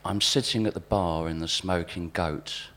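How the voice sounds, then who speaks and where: A man reading his observational pieces to a live audience in a wry, deadpan way. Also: low-pitched, moderate pace. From South East London, England. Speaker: writer and performer reading own pieces. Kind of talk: spoken-word reading of overheard snippets to a live audience.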